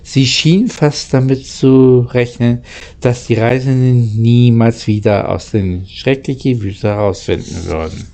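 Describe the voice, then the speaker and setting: Man, amateur narrator, unhurried, soft-edged mellow tone, halting delivery with repeated words. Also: medium pitch. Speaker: narrator, channel host. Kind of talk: amateur storybook reading, casual channel outro.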